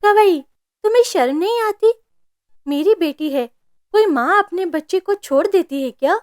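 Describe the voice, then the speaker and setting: A woman's voice: very high-pitched, animated, normal speed, a little mellow, no room sound, clean studio sound, pitched above the performer's own voice. A woman voicing a loving, fiercely protective mother bird in a cartoon story, speaking with tender, emphatic feeling. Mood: indignant. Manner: tender, emphatic, indignant when her child is threatened. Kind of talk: Hindi cartoon story voice acting.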